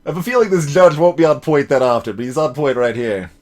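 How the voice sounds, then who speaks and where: A man's voice: a little high, animated, quick pace, darkish tone. A man doing live let's play commentary as a game streamer, with wry, joking asides. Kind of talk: live let's play commentary mixed with voiced dialogue reading. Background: game music.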